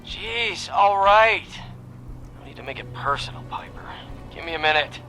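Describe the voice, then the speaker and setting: An actor playing an apologetic, flustered city gate guard in a video game, hesitant and folksy, with a noisy sound. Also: male voice, fairly high pitch, animated, normal speed, bright, forceful tone, soft background music.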